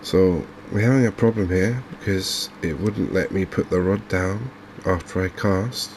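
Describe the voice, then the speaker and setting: A man with an English accent giving soft-spoken, hesitant, unhurried gameplay commentary in an ASMR style. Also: sits low. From England. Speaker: gaming video host. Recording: clear steady background noise.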